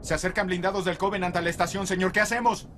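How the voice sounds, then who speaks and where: An actor playing an urgent, deferential police officer under siege in a Latin American Spanish audio drama. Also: male voice, high-pitched, fairly even intonation, quick pace, close to an everyday voice. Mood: alarmed. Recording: very loud vehicle and station noise.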